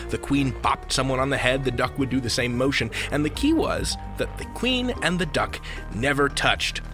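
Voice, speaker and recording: A man hosting a podcast in a scripted, conversational storytelling style, playful and theatrical in his emphasis. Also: medium pitch. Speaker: podcast host. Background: music bed.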